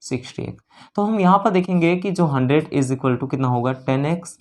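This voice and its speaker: A man's voice: fairly high pitch, lively intonation, normal speed, darkish tone. Indian man teaching maths step by step. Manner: repeats and rephrases key phrases, checks in with learners. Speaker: maths teacher for exam preparation. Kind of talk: lesson explaining worked problems step by step.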